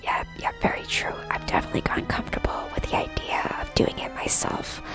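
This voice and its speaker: Whispering woman ASMR game streamer. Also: fast. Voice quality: breathy whisper. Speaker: live streamer. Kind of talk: whispered ASMR gaming stream, casual chat with viewers. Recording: music behind the whispering. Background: clear background music.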